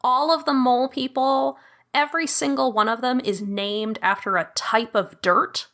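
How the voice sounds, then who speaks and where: Female guest, bright and forward tone, bubbly and chatty. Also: sits high, fairly even intonation, normal speed. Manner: bubbly, laughs often, full of fillers. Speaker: podcast guest, audio drama producer. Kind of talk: casual chat.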